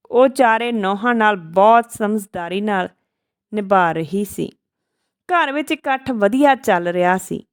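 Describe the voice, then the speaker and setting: A woman storyteller narrates a scripted tale. She reads it out in measured phrases, occasionally tripping over a word. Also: on the high side, fairly bright tone.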